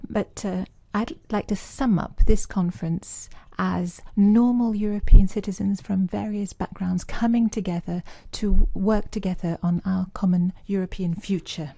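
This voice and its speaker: A woman's voice: medium pitch, steady tone, unhurried, vocal fry, few pauses, warm tone. A woman working as a simultaneous interpreter at a formal conference, rendering speeches into English with frequent fillers and restarts. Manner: hesitant, many fillers while keeping up with the speaker. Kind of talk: live interpretation of citizen speeches.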